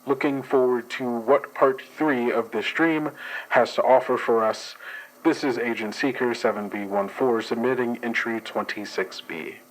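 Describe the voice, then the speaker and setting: A man reads a scripted report in character, calm and measured, at a mid pitch. He answers questions plainly and signs off formally. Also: a little hiss or hum, poor audio. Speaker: agent narrator of dream report.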